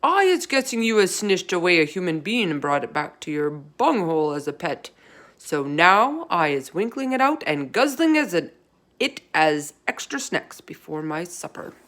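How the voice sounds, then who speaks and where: Storybook giant, male, huge brute, acted by a woman, loud bullying boom, mangled grammar. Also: very animated, moderate pace. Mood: loud, accusing. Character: huge brutish man-eating male giant, gruesome bully.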